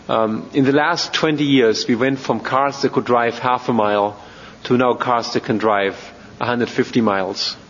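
A man from Germany with a German accent gives an informal technical talk as a robotics researcher, speaking haltingly with many ums and repeated words. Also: medium pitch. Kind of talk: informal technical lecture to an audience. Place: large reverberant hall, speaking to an audience. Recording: muffled, noisy, reverberant hall.

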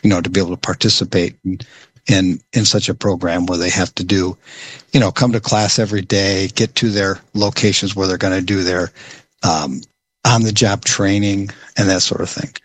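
Halting low-voiced man briefing. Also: fairly even intonation, fairly bright tone. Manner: halting, many pauses and restarts. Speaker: program presenter at a public meeting. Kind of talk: informal briefing.

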